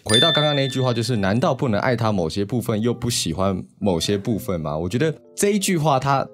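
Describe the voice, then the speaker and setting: A man's voice: mid-range voice, sing-song, fast, loud music behind the voice. A man with a Taiwanese accent, hosting with narration and discussion. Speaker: podcast host.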